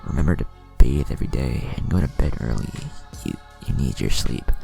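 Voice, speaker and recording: A man voicing a loving young mother in a story narration, speaking gently and haltingly. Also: rumbling low, expressive, creaky, soft background music, pitched below the performer's own voice, heavily acted. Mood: tender, halting. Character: tender young mother, loving, apologetic, fading.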